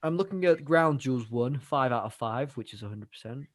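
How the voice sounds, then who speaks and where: A man talks through a live football watch-along, weighing up players and reading out their stats. His voice is mid-pitched and plain. The sound is dry and close to the mic. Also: animated, moderate pace, dry recording. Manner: analytical, reads out stats. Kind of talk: casual live-stream chat with stats analysis.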